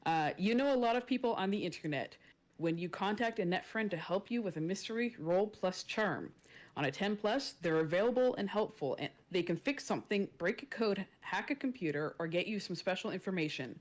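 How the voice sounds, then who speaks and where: A woman's voice: medium pitch, expressive, a little fast. A woman talking casually as a player explaining her tabletop game character. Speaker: tabletop role-playing player.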